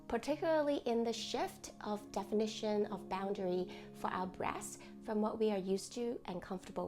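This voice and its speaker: A woman leading a guided meditation in a gentle, deliberate, reassuring manner, reading as a host from a script. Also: mid-range voice. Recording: music under the voice. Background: music.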